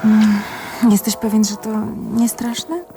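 A woman's voice: mid-range voice, slow, natural voice. A woman acting in a radio play as a coy, tender young wife who is unfaithful and touched by guilt. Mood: uneasy, pleading. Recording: music behind the dialogue.

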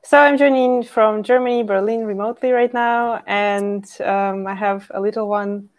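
A woman's voice: medium pitch, fairly even intonation, normal speed. A young woman from Ukraine, a guest developer, chatting in a friendly easygoing way on a video call from home. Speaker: podcast guest, Python developer. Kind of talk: casual interview chat. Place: home room, indoors.

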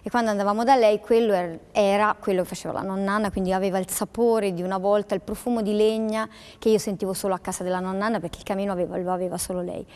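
Woman guest on tv talk show, mid-pitched voice, thoughtful pauses, studio lapel mic. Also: steady tone, a little mellow. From Italy.

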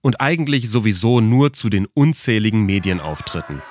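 A man's voice: fairly low pitch, very animated, normal speed, a little mellow, a little hiss or hum. A man reading a scripted documentary narration for a podcast.

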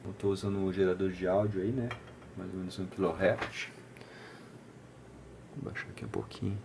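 A man with a Brazilian accent giving an informal hands-on technical tutorial, speaking haltingly with frequent short check-in tags. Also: deep voice, steady tone, vocal fry, background noise. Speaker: electronics repair channel host. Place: indoor home electronics workbench.

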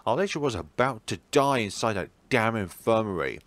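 Man acting a bitter, agitated teenage schoolboy, lively pitch. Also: brisk, dry recording. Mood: angry, panicked. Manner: agitated, stammering, sarcastic. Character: distraught, bitter teenage schoolboy lashing out in fear.

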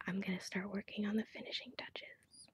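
A woman speaking in a breathy, gentle, unhurried whisper as a salon pedicurist in an ASMR roleplay, pampering a client. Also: medium pitch, level tone. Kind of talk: soft-spoken ASMR roleplay.

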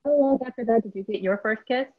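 A sarcastic young female producer played by a woman, quick and husky on a thin, phone-like recording. She mocks in deadpan style in a comedy script reading. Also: a little high. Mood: sardonic. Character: sardonic, mocking young female radio producer, 22. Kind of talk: comedy script table read.

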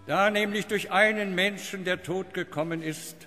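A man's voice: sits high, fairly even intonation, moderate pace, sharp tone, soft background music. A man acting a solemn, declamatory clergyman at a funeral in a radio drama. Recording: long church-like reverb.

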